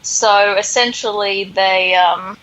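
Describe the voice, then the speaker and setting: A woman's voice: mid-range voice, steady tone, slow, fairly bright tone, lo-fi sound. A woman hosting a podcast, casually recapping a story in a sarcastic, exasperated way. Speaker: podcast host.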